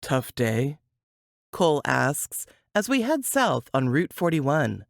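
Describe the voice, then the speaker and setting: A woman with a low voice narrates fiction in a very expressive, moving pitch. She reads at an unhurried, slow pace, and the recording is dry and close. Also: gravelly, no room sound. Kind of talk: scripted audiobook narration.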